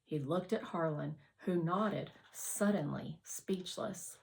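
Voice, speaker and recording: A woman's voice: fairly low pitch, slow pace, gravelly, darkish tone, poor audio. A woman reading a children's novel aloud as scripted narration. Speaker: audiobook reader.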